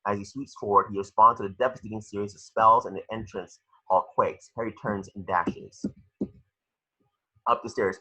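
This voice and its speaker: Male narrator for a table read: rough-edged, lower register, reading action lines with occasional stumbles, lo-fi home recording. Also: sharp tone. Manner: stumbles over words while reading. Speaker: narrator reading stage directions.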